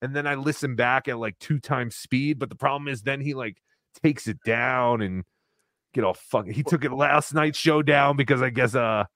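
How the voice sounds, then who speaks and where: A man's voice: mid-range voice, normal speed, a bit bright, no echo, clean studio sound. A man co-hosting a livestream, reading donations and chatting casually, swearing and laughing as he talks. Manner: loose, swearing, laughs while talking. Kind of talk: casual profane chat.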